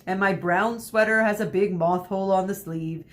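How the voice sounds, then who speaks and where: A woman's voice: steady tone, normal speed, close to an everyday voice. A woman reading the part of a fond, house-proud mother in a storybook, lightly scolding. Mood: mock scolding. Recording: noisy with low rumble.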